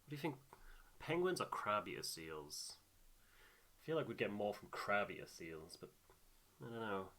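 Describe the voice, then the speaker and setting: A man's voice: fairly low pitch, animated, unhurried, pauses often, a bit bright. A man giving casual gameplay commentary, often muttering and thinking aloud. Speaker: gamer, playthrough host. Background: game music.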